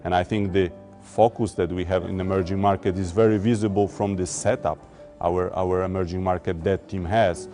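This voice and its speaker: A man from Poland, talking about his investment work in a corporate interview, measured and often repeating words as he looks for the phrasing. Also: sits low, fairly even intonation, dark tone. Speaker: emerging market debt investor. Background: faint music.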